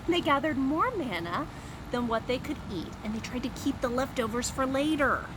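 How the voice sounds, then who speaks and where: Woman, children's story time host, high and very lively, simple questions and asides, noisy ambient recording. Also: normal speed. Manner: expressive, simple explanations aimed at small children.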